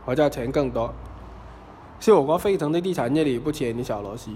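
A man's voice: mid-range voice, brisk, dark tone, close to an everyday voice. A man playing a smug, eager estate agent, boastful and salesman-like, in a scripted mock trial. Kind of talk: scripted audio drama.

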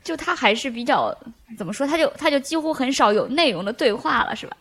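A woman's voice: high-pitched, animated, fast, crowd noise. A woman co-hosting a podcast, casually chatting and asking questions. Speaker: podcast co-host.

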